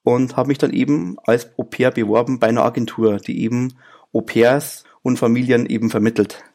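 A man's voice: medium pitch, level tone, normal speed, a little mellow. A man in his thirties from Bavaria with a Bavarian accent, a guest in a casual interview, chatting easily with frequent filler sounds. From Bavaria, Germany. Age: 30s.

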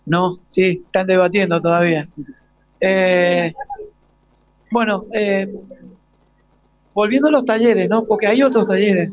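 A man's voice: high voice, fairly even intonation, a little slow, long pauses, no echo. An Argentine man hosting an informal live radio interview, asking hesitant questions with repeated starts. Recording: slightly dull, lo-fi live broadcast.

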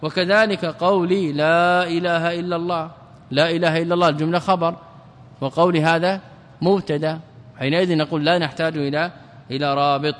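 Guy teaching grammar to a class, kinda high voice, big hall echo, asks questions then answers himself. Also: steady tone, fairly bright tone. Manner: questions the students and answers himself, chants verse lines slowly. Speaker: religious scholar teaching grammar. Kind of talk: classroom lesson on Arabic grammar.

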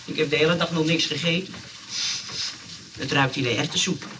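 High-pitched wheedling burglar, acted by a man, Dutch accent. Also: steady tone, brisk, close to an everyday voice. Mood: plaintive, ingratiating. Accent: Netherlands Dutch. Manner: wheedling, polite, hesitant. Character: pleading, chatty, self-pitying burglar and plumber. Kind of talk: comic radio play acting. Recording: distant, noisy old radio recording.

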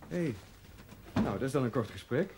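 A man's voice: mid-range voice, animated, normal speed, natural voice. A man acting a plain-spoken, cheeky job seeker in a seventies television drama, informal and a little hesitant. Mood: surprised, hesitant. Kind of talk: naturalistic 1970s TV drama acting. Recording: clearly noisy TV soundtrack.

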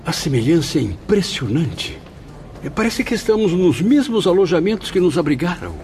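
A man's voice: on the high side, very animated, normal speed, a little mellow. Curious professor guy, radio drama, slight hiss. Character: inquiring male visitor, a professor.

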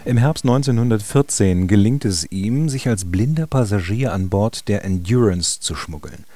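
A man reading scripted radio drama narration in a measured storytelling manner. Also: on the low side, lively intonation, warm tone, no room sound. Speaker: narrator and announcer.

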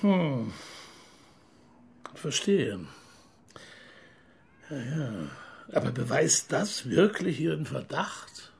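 An actor playing a measured, sceptical journalist in a radio play, speaking reflectively with pauses. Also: male voice, fairly high pitch, very animated, vocal fry, husky, full character voice. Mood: probing. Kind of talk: radio play narration and dialogue.

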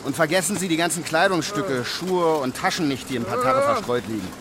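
Curt, authoritative police commissioner. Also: male voice, on the high side, sing-song, brisk, crowd noise. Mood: subdued. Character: brisk, commanding police commissioner in wartime. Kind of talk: German radio drama acting.

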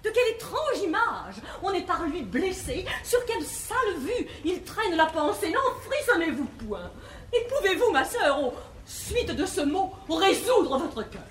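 An actress playing a haughty, prudish young bluestocking, declaiming classical stage comedy verse in a theatrical manner. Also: female voice, extremely high pitch, sing-song, measured pace, exaggerated voice. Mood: shocked disdain. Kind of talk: 1950s French classical stage comedy acting. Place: large theatre stage with live audience. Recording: echoey theatre stage, faint hiss.

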